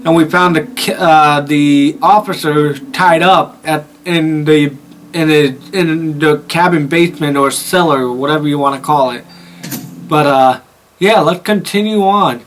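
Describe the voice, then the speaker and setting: A man gives casual commentary as the host of a horror game video. His voice sits fairly high and he rambles informally with plenty of swearing, over slight background noise. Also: measured pace, fairly bright tone.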